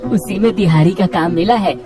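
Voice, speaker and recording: A woman's voice: fairly low pitch, fairly quick, distant mic, music in the background, natural voice. A woman voicing a polite, trusting, poor male labourer in a village cartoon. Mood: grateful.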